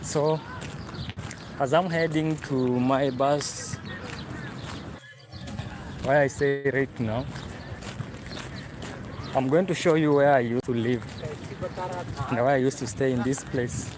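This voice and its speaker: Kenyan male travel vlogger, young, mid-pitched, chatty and repetitive, filmed on a phone with clear street noise behind. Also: fairly even intonation, slow, long pauses. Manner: chatty, repeats himself, talks to viewers. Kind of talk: walking livestream vlog. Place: outdoors on a city street.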